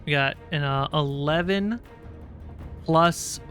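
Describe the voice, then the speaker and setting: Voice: mid-range voice, takes it slowly, fairly bright tone. A game master narrating tabletop fantasy combat and casually talking through rules. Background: background music.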